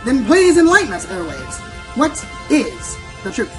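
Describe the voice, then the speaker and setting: A woman's voice: on the high side, huge pitch swings, a little slow, fairly bright tone, exaggerated voice. A woman playing a sensationalist pony news anchor in a radio play, theatrical and insistent. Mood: pressing, probing. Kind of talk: melodramatic newscast in an amateur radio play. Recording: music under the voice.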